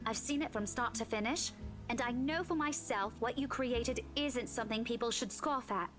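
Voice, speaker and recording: A woman's voice: on the high side, moderate pace, close to an everyday voice. A woman voicing an earnest, encouraging young female friend, reading game dialogue aloud over background music.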